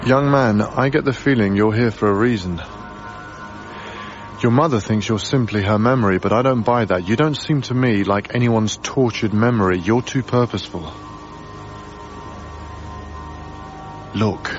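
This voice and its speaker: An actor playing a gentle, hesitant, earnest young male lodger in a naturalistic radio drama. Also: lowish voice, normal speed, muffled recording, faint music behind, close to an everyday voice. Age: young adult.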